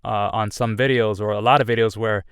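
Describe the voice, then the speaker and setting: Earnest young man with a smooth low voice. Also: fairly even intonation, brisk, dry recording, clean studio sound. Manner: rambling, with frequent restarts; earnest and candid. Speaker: YouTuber. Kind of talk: reflective personal update.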